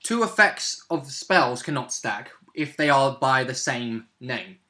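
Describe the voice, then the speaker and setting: Young male co-host, sharp bright voice, laid-back gamer chat recorded at home. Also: mid-range voice, lively intonation, takes it slowly. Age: young adult. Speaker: co-host and player. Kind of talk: casual chat about a tabletop game. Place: small room at home, indoors.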